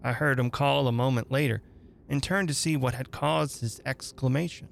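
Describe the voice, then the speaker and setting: Gravelly man reading an eerie literary tale. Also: medium pitch, steady tone, moderate pace, a little hiss or hum. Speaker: narrator, dramatic reader. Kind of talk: dramatic literary horror reading.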